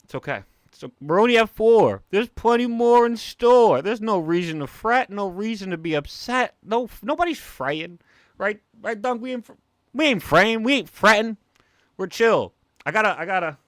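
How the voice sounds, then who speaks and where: A young man with a New York accent, chatting excitably on a livestream while opening comic packs, with a cartoonish way of talking. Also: high voice, normal speed, dry recording, studio quality. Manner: excitable, animated, talks like a cartoon character. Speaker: comic collector streamer. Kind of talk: casual livestream chat.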